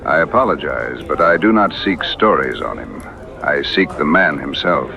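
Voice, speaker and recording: Very deep, gravelly solemn tracker. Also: male voice, lively intonation, crowd noise, full character voice. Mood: polite, earnest. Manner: formal, measured speech without contractions. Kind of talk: radio drama acting.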